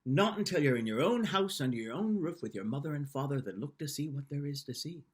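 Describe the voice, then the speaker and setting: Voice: medium pitch, expressive, quick pace, natural voice. King of the Fairies, as a storyteller voices him. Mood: commanding. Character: the King of the Fairies, gruff but fair.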